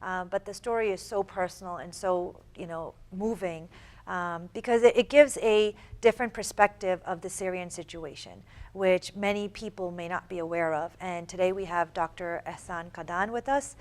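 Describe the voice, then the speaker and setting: A woman's voice: lowish voice, normal speed, a little mellow. A woman co-hosting a TV interview show, introducing the guest with many fillers. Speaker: co-host.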